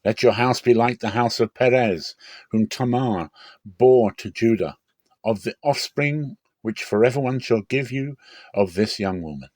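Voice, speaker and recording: A man's voice: mid-range voice, a little slow, a little mellow, no echo, natural voice. Man voicing townsfolk in exalted, declamatory blessing. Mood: solemn blessing. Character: townspeople and women proclaiming a solemn joyful blessing.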